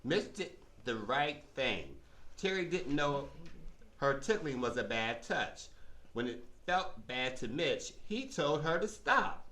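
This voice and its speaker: A man's voice: mid-range voice, sing-song, slow, bright, forceful tone. A man narrating a scripted children's puppet show, instructive and emphatic as he addresses young listeners.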